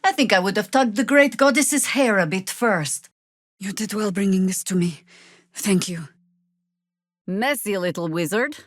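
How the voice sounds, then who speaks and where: An actress playing a seasoned, sardonic older druid adventurer in a video game, with dry, wry and deliberate formal phrasing. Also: female voice, medium pitch, no room sound. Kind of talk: video game character acting.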